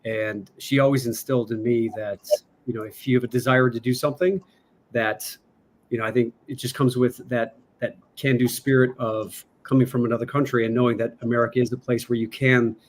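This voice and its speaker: A man's voice: medium pitch, steady tone, brisk. A man hosting a casual interview, asking long, loosely worded questions with fillers. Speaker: podcast host.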